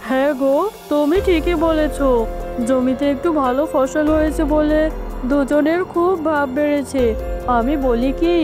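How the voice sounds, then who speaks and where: Woman as a greedy, high-voiced cartoon tigress wife. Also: measured pace, over music. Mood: scheming. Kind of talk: scripted cartoon dialogue.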